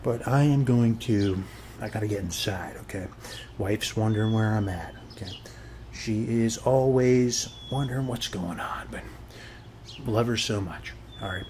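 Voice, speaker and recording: A man's voice: fairly low pitch, measured pace, darkish tone, slight background noise, lightly acted. A man playing a friendly, chatty suburban neighbor in a casual ASMR roleplay, rambling reassuringly in a folksy way and tagging phrases with short check-in questions. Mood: warm, neighborly. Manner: folksy, reassuring, repeats "okay?" as a tag. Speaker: ASMR performer.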